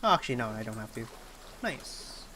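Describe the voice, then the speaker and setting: A woman's voice: rumbling low, sing-song, brisk, bright, forceful tone, slight background noise. A young woman livestreaming a game, chatting casually and thinking aloud with some hesitation. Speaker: game streamer. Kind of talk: casual livestream chat while playing. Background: game music.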